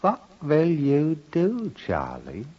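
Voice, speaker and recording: An actor playing an ominous, taunting storyteller in a brooding, insistent old radio-drama style. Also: male voice, fairly high pitch, wildly swooping pitch, heavily acted. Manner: slow, brooding, insistent repetition. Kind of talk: dramatic 1950s radio narration. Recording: muffled vintage radio recording.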